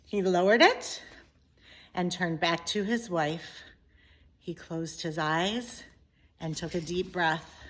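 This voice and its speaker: Slow, expressive woman reading a storybook. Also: on the low side. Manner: deliberate, dramatic emphasis. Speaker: story reader, teacher. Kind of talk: expressive children's storybook narration.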